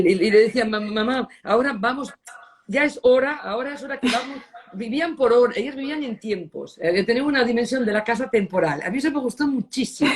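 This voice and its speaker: A middle-aged woman from Spain, speaking in a reflective interview and often pausing to search for words, with Italian words slipping in. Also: on the high side. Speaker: designer interviewee.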